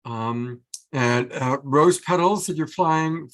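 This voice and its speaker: A man's voice: a little high, slow. A man hosting a casual live show over a video call, enthusiastic and joking as he chats. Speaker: show host.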